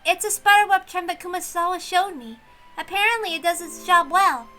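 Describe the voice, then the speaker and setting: Woman as an earnest, high-pitched servant girl. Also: brisk, bright, forceful tone, soft background music. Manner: eager, innocent, wondering. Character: earnest, naive, forgetful young servant girl.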